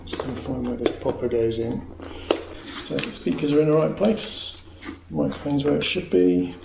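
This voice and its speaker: A man's voice: medium pitch, big pitch swings, normal speed, vocal fry. A British man giving a casual hands-on product demonstration, chatty and matter-of-fact as he thinks aloud while working. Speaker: motorcycle gear reviewer. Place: indoors at home. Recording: echoey room with faint clicks and noise.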